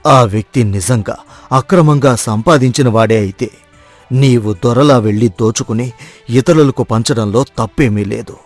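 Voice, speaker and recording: A man's voice: mid-range voice, a little mellow, close to an everyday voice. A man voicing a grave, wounded old father who admonishes in a dramatized moral story.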